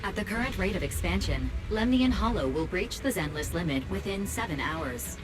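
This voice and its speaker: A woman's voice: on the low side, expressive, normal speed, lightly acted. A woman voicing an impassive automated alert announcer in a video game. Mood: impassive warning. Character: impassive female warning system announcer. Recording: game audio under music.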